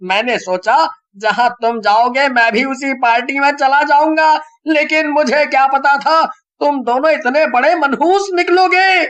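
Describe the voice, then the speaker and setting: A frightened young man from a comic horror storybook, acted out by a man. His voice is extremely high and whiny, with slow, exaggerated, pleading phrasing. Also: fairly bright tone. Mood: annoyed, scolding. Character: frightened, whining young male friends trapped by witches.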